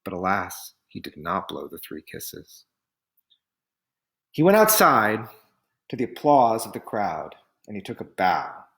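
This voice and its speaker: Very animated man reading a storybook. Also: lowish voice, measured pace, vocal fry, long pauses. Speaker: picture book reader. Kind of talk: expressive read-aloud story narration.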